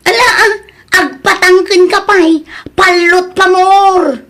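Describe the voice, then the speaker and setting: A woman's voice: extremely high pitch, steady tone, slow, a bit bright, heavily acted. A woman acting an exasperated, scolding wife in an audio drama, with a nagging, questioning manner. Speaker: drama actor.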